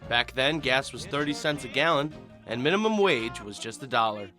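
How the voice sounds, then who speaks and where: A man's voice: medium pitch, animated, normal speed, over music. A man with an American accent reading scripted narration as a television news reporter.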